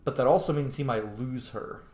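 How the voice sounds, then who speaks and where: A man's voice: medium pitch, moderate pace. A man giving casual, sweary game commentary as the host of a gaming channel. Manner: animated, sweary. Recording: echoey room.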